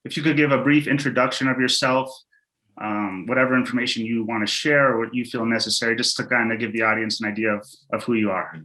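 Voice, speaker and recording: A young man in his twenties hosts a podcast interview, with a bright, crisp voice at a medium pitch. He asks his questions in a friendly, slightly hesitant way, filling pauses with "um.". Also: steady tone, rough recording. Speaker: podcast host. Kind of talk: interview host.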